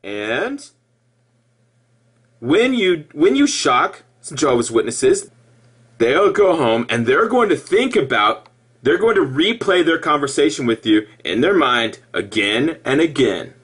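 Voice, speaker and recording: A man giving an impassioned personal monologue to viewers, halting and repeating himself, with emphatic stresses. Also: a little high, big pitch swings, a bit bright, slightly rough. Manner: halting, repetitive, with emphatic outbursts. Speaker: former Jehovah's Witness video blogger.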